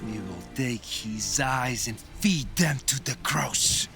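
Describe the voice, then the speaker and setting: Aggrieved, shrewd Viking jarl. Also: male voice, mid-range voice, slow pace, creaky, bright, forceful tone, full character voice. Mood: persuasive. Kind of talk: cinematic game dialogue. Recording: studio voice over game music.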